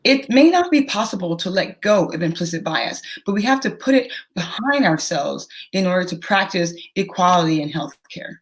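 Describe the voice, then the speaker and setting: Young female doctor, interview guest, slightly lower register, measured and instructive delivery. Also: expressive. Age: young adult. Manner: measured, educational, careful explaining. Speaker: physician and teacher, guest. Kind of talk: explanatory interview answers.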